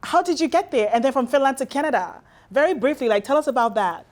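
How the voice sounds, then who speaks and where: A woman's voice: sits high, lively intonation, fast talker, darkish tone. A woman from Nigeria, hosting an interview and responding with admiring encouragement. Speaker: interview host. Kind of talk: interview conversation.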